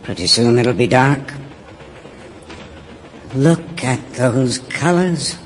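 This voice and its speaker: Slow, musing man. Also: mid-range voice, lively intonation, slight background noise. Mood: calm, wistful. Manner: terse, musing. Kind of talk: audio stage play dialogue.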